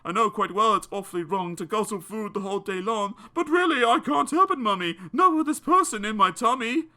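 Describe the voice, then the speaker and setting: A man's voice: high-pitched, expressive, a little fast, bright, forceful tone, voice pushed up, full character voice. A man voicing an anxious, earnest young child who pleads insistently in a storybook poem. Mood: earnest pleading.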